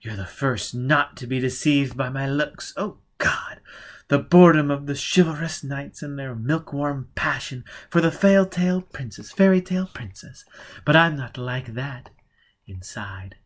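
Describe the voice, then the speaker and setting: A woman's voice: a bass voice, animated, unhurried, heavily acted. A man voicing an aloof, fierce aristocratic lady. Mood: fierce, intense. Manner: swings from hushed intensity to airy, gracious dismissal. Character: aloof aristocratic young woman, fierce under a gracious surface. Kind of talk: audiobook character voice.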